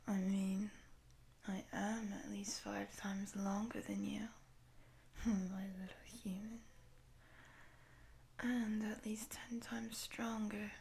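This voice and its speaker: A woman voicing a friendly, curious lamia in a roleplay, in a soft breathy whisper, teasing and affectionate, taking her time. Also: medium pitch, level tone, takes it slowly, pauses often, a little hiss or hum. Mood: teasing, playful. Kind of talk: whispered roleplay audio addressed to the listener.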